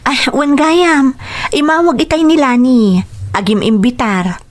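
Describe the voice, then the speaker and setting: An actress with an Ilocano accent playing a woman of a family in an expressive, emotive radio drama style. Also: high voice, unhurried. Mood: bright, chatty. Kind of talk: Filipino radio drama acting.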